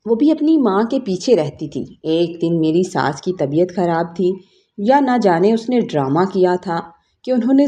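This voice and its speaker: A woman's voice: fairly low pitch, dark tone. A woman narrating a scripted first-person story in an emotive storytelling manner.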